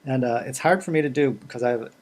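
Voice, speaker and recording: A man's voice: mid-range voice, fast. A man from Boston with a Boston accent, leading a family folk music workshop on a video call with easy laughs and lots of fillers. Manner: chatty, laughs easily, many fillers. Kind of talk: casual online workshop talk.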